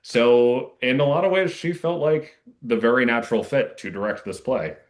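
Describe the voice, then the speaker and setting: A man's voice: mid-range voice, normal speed. A man talking casually and reflectively as an interviewed playwright, earnest and discursive, with many asides.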